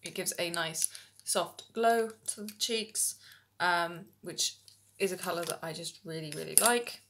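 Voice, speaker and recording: Slightly hoarse woman doing a makeup tutorial. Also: lowish voice, unhurried, a little hiss or hum. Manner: relaxed, step-by-step, many ums. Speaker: makeup vlogger. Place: small indoor room at home.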